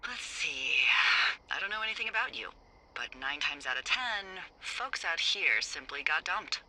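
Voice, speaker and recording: An actress playing a wry, bossy, foul-mouthed fire lookout supervisor in a video game, teasing and casual over a handheld radio. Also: female voice, sits low, big pitch swings, normal speed, background noise. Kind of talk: naturalistic video game dialogue.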